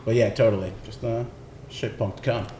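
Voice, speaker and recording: A man chatting casually and unscripted about his picks, rambling and halting as he finds his words. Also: lowish voice, fairly even intonation, mellow. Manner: halting, rambling, laid-back phrasing. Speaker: recording engineer presenting record picks. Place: indoors in a record shop. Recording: roomy echo, faint background noise.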